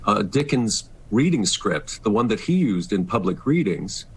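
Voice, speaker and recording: A man's voice: fairly low pitch, big pitch swings, moderate pace, breathy edge. A middle-aged or older man, a stage actor, talking earnestly and expressively as an interview guest on a remote call. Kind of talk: casual reflective interview talk. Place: remote video call, not in the studio.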